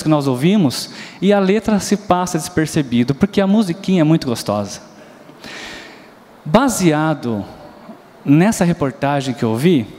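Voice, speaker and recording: A man's voice: a little high, a little mellow. A man with a Brazilian Portuguese accent preaching a sermon in a church, telling stories, asking the congregation questions and singing bits of nursery rhymes. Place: church hall. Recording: long hall reverb.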